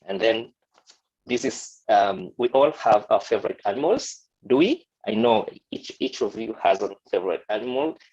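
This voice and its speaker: A man from Kenya with a Kenyan accent, giving a friendly, halting presentation to students. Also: fairly low pitch, lively intonation, a little mellow, husky, muffled recording. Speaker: guest photographer.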